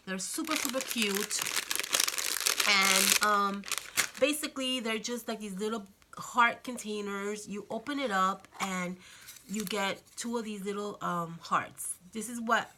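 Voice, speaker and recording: A woman hosting a casual crafting tutorial, chatty and friendly, her voice slightly raspy from a cold. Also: mid-range voice, measured pace, background noise. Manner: chatty, friendly, many fillers and "okay?" check-ins. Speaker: craft channel host.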